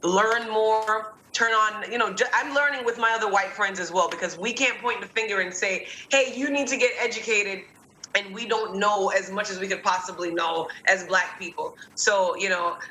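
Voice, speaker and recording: Woman raised in Jamaica, chatty, bright tense voice, echoey room, faint background hum. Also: mid-range voice, normal speed. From born in New York, raised in Kingston, Jamaica. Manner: chatty, many fillers and restarts, animated. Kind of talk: casual conversational discussion.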